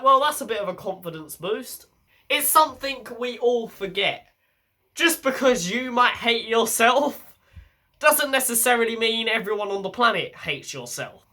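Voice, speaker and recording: Young male vlogger with a head cold. Bright, congested voice, blunt and sweary. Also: sits high. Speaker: channel host. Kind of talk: casual self-help vlog.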